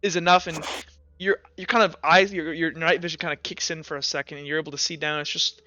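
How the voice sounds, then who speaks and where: A man narrating a tabletop roleplaying game as game master, improvising and often halting to search for words. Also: on the high side, steady tone, bright, forceful tone, dull audio.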